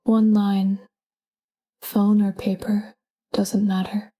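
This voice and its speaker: A woman narrating a scripted sleep meditation in a calm, gentle, whispery voice with measured delivery. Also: medium pitch. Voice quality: breathy, whispery. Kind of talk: scripted guided sleep meditation, soft spoken.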